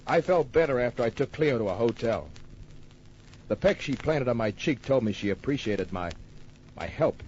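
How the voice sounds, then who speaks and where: An actor playing a wry, tough private detective narrating his case in an old-time radio drama, deadpan and wisecracking. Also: mid-range voice, normal speed, a little hiss or hum, lightly acted. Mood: calm, in charge. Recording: muffled old radio recording with music.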